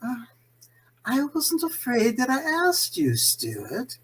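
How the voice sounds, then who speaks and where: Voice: mid-range voice, huge pitch swings, takes it slowly, slight background noise, full character voice. An actor playing a condescending, scheming imprisoned crime boss in a 1940s-style radio play, suave and gloating in manner. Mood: smug, menacing.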